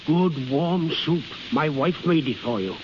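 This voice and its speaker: A high-voiced, kindly old innkeeper. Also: male voice, expressive, unhurried. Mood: warm, coaxing. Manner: quaint foreign phrasing, fatherly. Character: kindly fussing old Swiss innkeeper, devoted and blunt. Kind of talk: 1930s radio acting. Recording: muffled, hissy 1930s radio recording.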